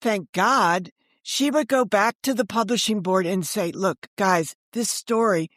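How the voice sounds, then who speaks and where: Older lady author telling stories on a podcast, asks her own questions, normal pitch, really dry sound. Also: a little slow, fairly bright tone, dry recording. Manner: rhetorical questions and acted-out dialogue. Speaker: guest, author and former book editor. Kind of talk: animated storytelling in a podcast interview.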